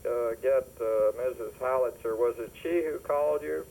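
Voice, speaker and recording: A man speaking formally and haltingly on a business phone call, recorded over a telephone line. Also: mid-range voice, gravelly, a little mellow, slight background noise. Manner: halting, formal, deliberate phrasing.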